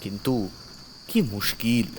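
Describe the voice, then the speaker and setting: A man's voice: on the low side, fairly even intonation, unhurried, a little hiss or hum. A man narrating a horror story in the first person as a doctor, theatrical and suspenseful.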